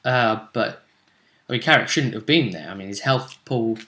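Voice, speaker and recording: A man talking casually as a game master and host, recapping a tabletop role-playing game with emphatic, dramatic pauses. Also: fairly low pitch, bright, forceful tone.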